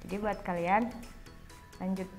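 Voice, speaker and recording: A woman's voice: mid-range voice, level tone, measured pace, vocal fry, darkish tone. A young woman from Java, co-hosting a casual, informal chat in which she explains words to viewers. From Java, Indonesia. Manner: informal, addresses viewers as friends. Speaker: co-host of a language vlog. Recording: background music.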